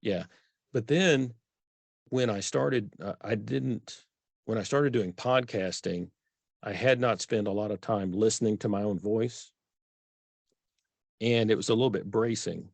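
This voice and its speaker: Low-voiced Texan man, earnest. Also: a little slow, creaky, long pauses, dry recording. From Texas. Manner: earnest, self-correcting restarts. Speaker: author guest. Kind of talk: conversational interview.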